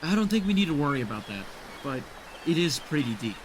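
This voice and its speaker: A man's voice: fairly high pitch, very animated, quick pace. A man acting in an audio drama as a level-headed, practical companion with a dry, reassuring manner. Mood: calm, concerned. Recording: noisy outdoor ambience.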